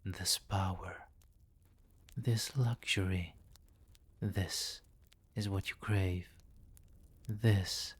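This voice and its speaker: A man's voice: sits low, fairly even intonation, slightly rough, dry recording, heavily acted. A man playing a commanding, possessive vampire king in an intimate, teasing ASMR roleplay. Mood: coaxing, commanding. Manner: slow, teasing, condescending, with murmured endearments.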